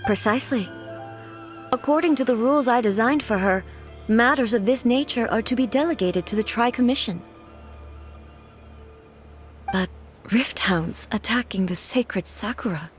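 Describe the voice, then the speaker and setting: A woman voicing an ancient, stern goddess ruler who speaks in a measured, formal and grave way. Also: fairly high pitch, soft background music, lightly acted. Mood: solemn. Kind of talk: game dialogue.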